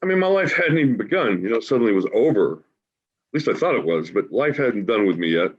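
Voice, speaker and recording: A man in his forties acting a grieving, wry homeless man in a staged play reading, halting and emotional in a conversational way. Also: on the low side, very animated, slightly rough. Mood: wistful, sorrowful. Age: 40s. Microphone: video call. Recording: dull, lo-fi video call audio.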